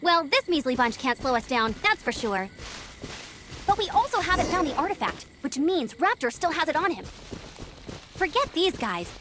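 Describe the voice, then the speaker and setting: A woman voicing an upbeat, confident young female scout in an anime-style video game, with an energetic, playful, encouraging manner. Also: sits high, normal speed, background noise. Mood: determined. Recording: faint game music behind.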